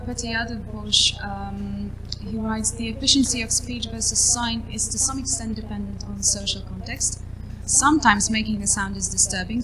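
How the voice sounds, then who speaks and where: Woman, session moderator relaying audience questions, mid-range voice, noisy recording. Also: mid-range voice. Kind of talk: conference moderation, reading out questions.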